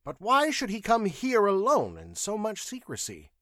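A man's voice: high voice, wildly swooping pitch, normal speed, a bit bright, no room sound, studio quality, pitched above the performer's own voice, exaggerated voice. A man voicing a stern, impatient inquisitor in an audiobook, questioning in a curt, commanding way. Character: stern male inquisitor, impatient, weary of interrogations.

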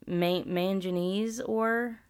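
A woman's voice: medium pitch, fairly even intonation, takes it slowly, clean tone. A woman with a Southern US accent, co-hosting a casual podcast and reading from her notes with fillers and restarts. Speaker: podcast co-host. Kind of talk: casual chat.

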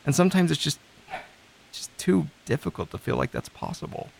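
Creaky-voiced, self-doubting man played by an actor. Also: a little high, huge pitch swings, brisk, heavily acted, rough recording. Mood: pained, earnest. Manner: hesitant, vulnerable. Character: despairing, self-doubting, earnest male therapy client. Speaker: actor in a fiction podcast. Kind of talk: scripted audio drama, intimate dialogue.

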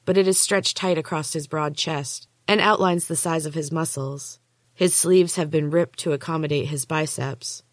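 Female audiobook narrator, lower register, close dry recording, measured suspenseful first-person storytelling. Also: dry recording. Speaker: author and podcast host reading own book.

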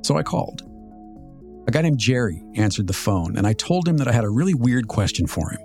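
A man's voice: mid-range voice, very animated, a little fast, gravelly, darkish tone. American man in his fifties over music. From Southern California, United States. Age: 50s. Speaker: podcast host. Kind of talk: scripted personal storytelling narration.

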